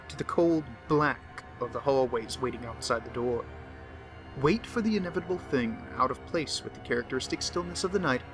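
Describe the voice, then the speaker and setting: A man plays a pompous, unhinged male diarist of the occult, full of scorn for humanity. He speaks very slowly and theatrically at a medium pitch, lingering on words as horror narration. Prominent music runs behind the voice. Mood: ominous, warning. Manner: theatrical, slow and deliberate, lingering on words, dropping to whispers. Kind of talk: dramatic horror story narration.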